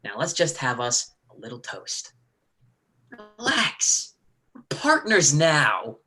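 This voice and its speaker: Man playing a cocky, animated hustler brother. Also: on the high side, takes it slowly, exaggerated voice. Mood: cajoling. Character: cocky, needling hustler brother, rough-edged and bullying. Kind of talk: dramatic play reading.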